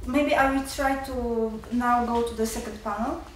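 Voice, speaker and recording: A young woman with a Polish accent, a student giving nervous, halting remarks at a seminar with many restarts. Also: on the high side, fairly even intonation, heavy reverb, recorded from a distance, a little hiss or hum, low-quality recording. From Poland. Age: young adult. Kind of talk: nervous public remarks in a second language.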